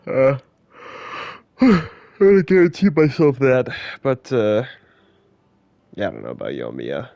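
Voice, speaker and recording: A game streamer talking casually over play. The sound is close and very dry. Comments come as muttered, sweary reactions in a medium-pitched voice. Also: sing-song, slow pace, vocal fry, pauses often, dark tone, no room sound, dull audio.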